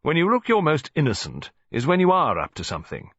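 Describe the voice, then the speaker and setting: A man voicing a gruff, grumbling old English colonel in an audiobook story, blustering as he talks. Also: on the high side, huge pitch swings, a little fast, a bit bright, no room sound, muffled recording, voice pushed up, exaggerated voice. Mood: suspicious, teasing. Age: older.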